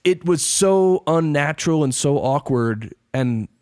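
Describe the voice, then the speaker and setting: A man's voice: on the high side, lively intonation, a little slow, darkish tone, dry recording, clean studio sound. A man hosting a podcast interview, talking casually and telling stories with emphasis. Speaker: podcast host.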